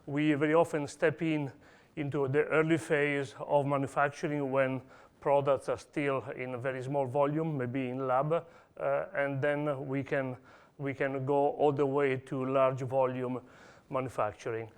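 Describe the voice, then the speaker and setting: A man with an Italian accent giving a technical conference talk, pausing often with fillers and restarts. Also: mid-range voice, little pitch movement, smooth. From Italy. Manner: hesitant, many "uh" fillers and restarts. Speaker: conference speaker. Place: conference hall.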